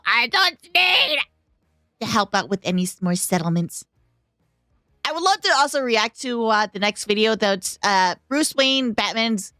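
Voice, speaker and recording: A woman streamer chatting casually with her viewers, her voice hoarse, bubbly and talkative. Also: mid-range voice, pushed and bright, no echo. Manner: bubbly, talks to chat, hums tunes. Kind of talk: casual livestream reaction chat.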